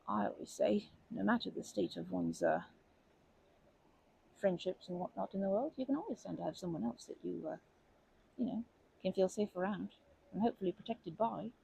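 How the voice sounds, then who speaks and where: A woman playing a kindly, protective grandma in an ASMR comfort roleplay, speaking gently and hesitantly with fond pet names. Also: medium pitch, very animated, normal speed, pauses often, slight background noise, low-quality recording. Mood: soothing reassurance. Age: older. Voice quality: creaky, husky.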